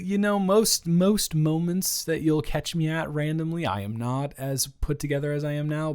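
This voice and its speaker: Hesitant, awkward ex-boyfriend played by a man. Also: fairly high pitch. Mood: sheepish, candid. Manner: hesitant, halting, stammering, with nervous chuckles. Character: awkward, considerate young ex-boyfriend, nervously teasing. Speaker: audio roleplay voice actor. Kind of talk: improvised intimate roleplay acting, conversational.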